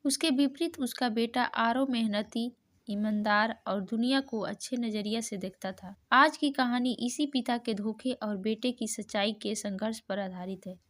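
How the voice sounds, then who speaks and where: A woman's voice: fairly high pitch, unhurried, a bit bright. A woman narrating a scripted moral story as the storyteller.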